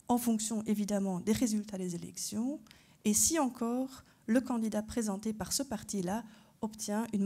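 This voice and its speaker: A woman's voice: mid-range voice, mellow. A woman from Belgium whose first language is German, a constitutional court judge giving a structured conference lecture and putting rhetorical questions to her audience. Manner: structured explanatory delivery, poses rhetorical questions.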